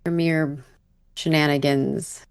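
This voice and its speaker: A woman's voice: sits low, little pitch movement, slow pace, gravelly, clear, resonant tone, no echo. A woman playing a tabletop game, casually chatting and narrating what her character does.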